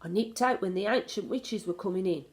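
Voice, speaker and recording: A woman reading a children's storybook, voicing a plucky, excitable young boy with an eager manner. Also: medium pitch, fairly quick, natural voice. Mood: eager, confiding. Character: excitable, plucky young grandson turned mouse.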